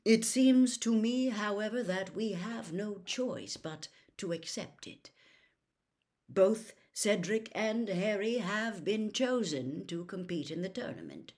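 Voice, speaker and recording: A woman voicing a grave, calm old male headmaster, a wizard with measured, deliberate delivery in a storybook reading. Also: mid-range voice, pitched above the performer's own voice. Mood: grave, resigned.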